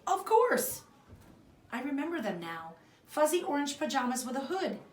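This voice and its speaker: A woman's voice: high-pitched, fairly quick. Roomy, distant-sounding woman reading a storybook. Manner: expressive, acts out the dialogue. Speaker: fourth grade teacher reading aloud. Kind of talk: children's storybook read-aloud narration. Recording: roomy echo, distant mic, faint noise.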